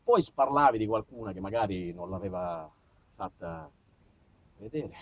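A man with a southern Italian accent performing a comic monologue, theatrical and mock-pompous, moving between muttered asides and loud outbursts. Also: fairly low pitch, lively intonation, a little slow, husky, narrow, tinny audio. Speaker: stage monologist. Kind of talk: comic autobiographical storytelling to a live audience.

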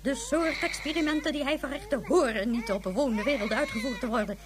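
A woman's voice: high-pitched, fairly quick, noisy background, lightly acted. A woman playing a self-righteous president of a colony world in a radio drama, theatrical and doting on her pet. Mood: stern, pompous. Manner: theatrical, cooing then stately.

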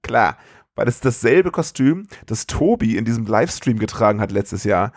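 A man co-hosting a podcast, telling film scenes in a casual, colloquial and animated way. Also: lowish voice, moderate pace, no room sound, clean studio sound. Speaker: podcast co-host. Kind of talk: casual film-review chat.

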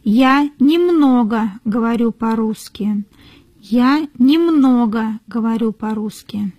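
A woman reading model sentences for learners in a careful, deliberate way, repeating each phrase. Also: fairly high pitch, darkish tone, no echo. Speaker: native-speaker model reader.

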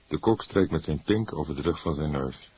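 A man narrating a crime audiobook in a measured storytelling manner. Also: a bass voice, expressive, a little mellow, breathy edge. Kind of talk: scripted narration of a crime novel.

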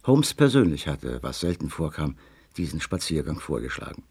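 Inquisitive gentleman companion, radio crime drama, earnest storytelling, rough-edged voice, very animated intonation. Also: rumbling low, moderate pace, heavily acted. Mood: brooding narration. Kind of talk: German radio crime drama, narration and dialogue.

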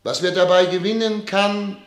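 A man's voice: high voice, steady tone, moderate pace, close to an everyday voice. An actor playing a solemn, disillusioned ruler who addresses his ministers in a grand, rhetorical radio drama style. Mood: stern, oratorical. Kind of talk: theatrical radio drama oratory. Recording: echoey hall, reverberant studio radio drama.

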